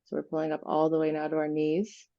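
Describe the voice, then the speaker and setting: A woman leading an unscripted guided visualization meditation, giving gentle instructions with pauses. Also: deep voice, completely flat delivery, lo-fi sound.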